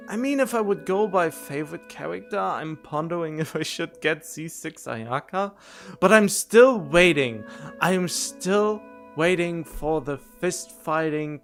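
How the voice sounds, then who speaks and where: A man with a voice a little higher than most chats casually as he streams a game. He thinks aloud, rambling and rewording himself as he goes. Faint game music plays behind him. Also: expressive, a little slow, a bit bright. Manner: rambling, self-correcting, musing. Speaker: video game livestreamer.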